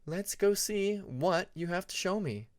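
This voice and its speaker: A man voicing a warm, eager young male dragon in a fantasy audiobook, encouraging and friendly. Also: high voice, expressive, measured pace, no room sound, studio quality, voice pushed up.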